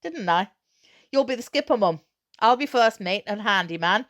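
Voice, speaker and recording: Woman as storybook father, eager, breathless, emphatic, urgent, pitched up with excitement. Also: normal speed, natural voice. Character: excited, eager father with big sailing dreams. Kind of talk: acted dialogue in a children's novel reading.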